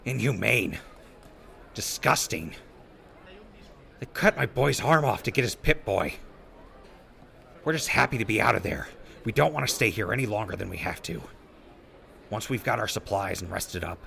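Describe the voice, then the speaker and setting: A man plays a weary wasteland traveler in an audio drama. His low voice is heavy with gravel and has a forceful edge, yet he comes across as an earnest, good-natured peacemaker. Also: a little hiss or hum, heavily acted. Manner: earnest, placating. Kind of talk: post-apocalyptic audio drama acting.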